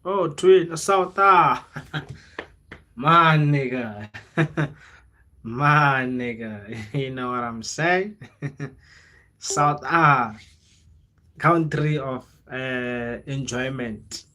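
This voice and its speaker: A man's voice: medium pitch, drawn-out words, pauses often, pushed and bright. A young South African man talking informally on a livestream, using plenty of slang, repeating phrases for emphasis and slipping into local languages. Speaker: livestreamer, content creator. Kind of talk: casual livestream chat, motivational rambling.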